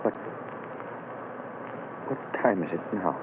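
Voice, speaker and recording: An actor playing a condemned man awaiting execution, speaking in a shaky, halting, bitter way in a 1930s radio drama. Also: low-pitched, animated. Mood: despairing. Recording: hissy tinny 1930s radio broadcast.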